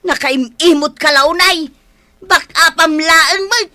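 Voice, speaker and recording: A woman's voice: very high voice, takes it slowly, pushed and bright, exaggerated voice. A woman playing a pushy, whiny village woman in an Ilocano comedy drama, insistent and theatrical, with an Ilocano accent. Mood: whining. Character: pushy, whiny village woman demanding her online order. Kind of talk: Ilocano comedy radio-style drama acting.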